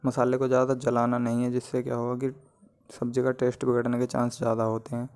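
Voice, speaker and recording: A man's voice: mid-range voice, monotone, fast, darkish tone, smooth. A man presenting a recipe as a home cook, explaining it step by step in a plain instructional voice-over. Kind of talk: step-by-step cooking tutorial voice-over.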